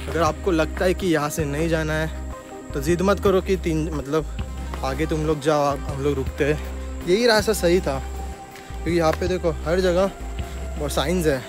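A man's voice: on the high side, moderate pace. Chatty young man. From Maharashtra, India. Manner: chatty, addresses viewers directly while walking a trail. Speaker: travel vlogger. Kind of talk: casual vlog narration to camera. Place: outdoor forest trail near a waterfall. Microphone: phone camera held while walking. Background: background music.

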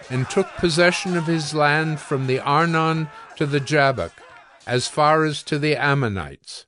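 A man narrating scripture from a script in a measured, solemn storytelling manner. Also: fairly high pitch, no echo, clean studio sound. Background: soft music.

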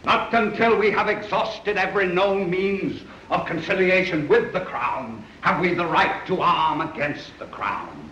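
Ringing, high-voiced colonial delegate. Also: male voice, expressive, a little slow, hardly any pauses, bright, forceful tone, highs cut off, full character voice. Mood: emphatic. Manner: emphatic, declamatory. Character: stern, cautious colonial delegate arguing against war. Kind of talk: 1930s film acting, political oratory. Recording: noisy, echoey 1930s film soundtrack.

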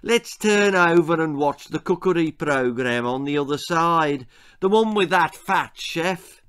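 A man's voice: a little high, animated, a little slow, a bit bright, smooth. A man with an English accent voicing an oblivious, silly mother in a comic children's story, gushing and unconcerned.

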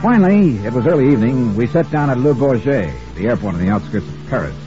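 An American actor playing a hard-boiled insurance investigator who narrates in a wry, clipped, conversational way, in 1950s radio detective style. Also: male voice, mid-range voice, very animated, quick pace, natural voice. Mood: wry, matter-of-fact. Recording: dull vintage radio recording with music.

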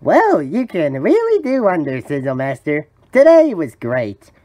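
Cartoon sponge fry cook, boyish, gullible, eager, hugely swooping pitch. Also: male voice, wildly swooping pitch, measured pace, mellow. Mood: excited, grateful. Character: cheerful, gullible young male sea sponge fry cook. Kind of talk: cartoon fan voice acting.

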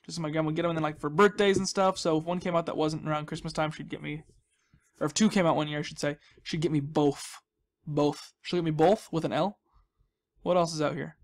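A man with a fairly high voice talks through his gameplay as he goes. His commentary is casual and rambling, full of chatty asides and small self-deprecating jokes. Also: little pitch movement, brisk. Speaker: gaming video host.

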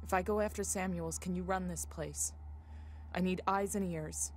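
A woman's voice: fairly low pitch, fairly even intonation, moderate pace, a little hiss or hum, natural voice. A woman playing a determined, commanding survivor in a video game, speaking in a terse, urgent way. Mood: firm, urgent.

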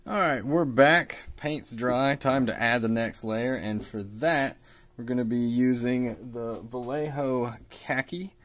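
A man in his thirties, a bit raspy from a cold, calmly talking through a miniature painting tutorial with plenty of fillers. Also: mid-range voice, takes it slowly. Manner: relaxed, hesitant with fillers, explains while working. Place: hobby shop workbench indoors.

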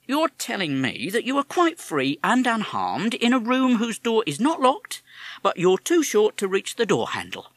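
A man's voice: sits high, wildly swooping pitch, moderate pace, fairly bright tone, no room sound, pitched above the performer's own voice, heavily acted. A man voicing a British police officer who is formal, pompous and sarcastic. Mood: exasperated.

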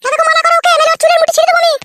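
A woman playing a jealous, quarrelsome village wife in a comic cartoon, shrill and foul-mouthed, with a pitched-up voice. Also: completely flat delivery, racing, fairly bright tone. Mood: furious. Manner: shrill, scolding, repetitive insults.

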